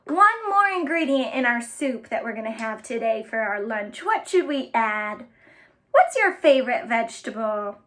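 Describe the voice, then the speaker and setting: A woman hosting a storytime for children, speaking in an animated way and addressing them directly with simple instructions and phrases. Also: a little high, moderate pace. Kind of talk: children's storytime with sing-along chants and play instructions.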